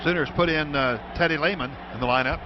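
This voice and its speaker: American sportscaster on noisy, dull radio. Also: male voice, fairly high pitch, fast. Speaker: play-by-play radio commentator. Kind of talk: live American football radio commentary. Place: stadium radio booth.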